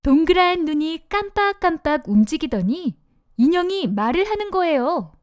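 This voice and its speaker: Brief: woman reading a kids' fairy tale, high and lively, dry close mic. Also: moderate pace, no room sound. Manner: sing-song, expressive. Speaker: narrator. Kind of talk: children's storybook narration.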